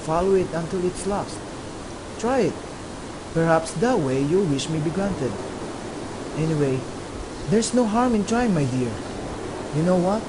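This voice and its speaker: A man's voice: a little high, expressive, a little slow, long pauses. A man playing a tender father, hissy recording. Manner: soft, tender storytelling to his child. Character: loving father, middle-aged man, gentle then angry husband. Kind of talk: amateur student radio drama acting. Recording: noisy amateur recording with hiss.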